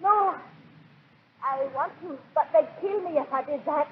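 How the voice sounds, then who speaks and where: An actress playing the frightened, bitter wife of an evil ruler in melodramatic 1940s radio style. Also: female voice, sits high, measured pace. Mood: bitter, fearful. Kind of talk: 1940s radio drama acting. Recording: tinny 1940s radio recording, faint noise.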